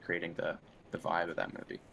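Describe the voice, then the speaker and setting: Man, very low voice, casual interview guest, hesitant, searching phrasing. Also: lively intonation, a little fast, gravelly, fairly bright tone, a little hiss or hum. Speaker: interview guest, film and game composer.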